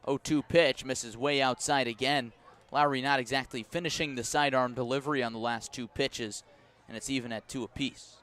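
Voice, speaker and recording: An American man works as a baseball play-by-play announcer on live radio. His voice sits at a medium pitch. He describes the game in a measured, detailed way and lifts his delivery when the action calls for it. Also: steady tone, a bit bright, smooth. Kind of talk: live radio sports commentary.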